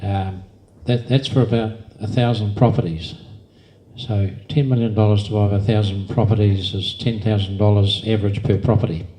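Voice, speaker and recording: A man chairing a community meeting, patiently explaining in a measured way. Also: lowish voice, steady tone, dark tone, poor audio.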